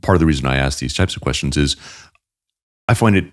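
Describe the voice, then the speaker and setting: Male podcast host, very low voice, calm and measured questions, dry studio sound. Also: no room sound. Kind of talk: interview podcast.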